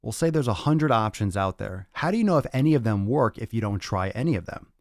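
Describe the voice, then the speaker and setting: A man with a fairly low voice delivers a blunt motivational rant as a fitness podcast host. He speaks emphatically, swears freely and repeats phrases for weight. The sound is close and dry. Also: expressive, fast talker, no room sound. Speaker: fitness coach hosting a podcast. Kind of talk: motivational rant to livestream audience.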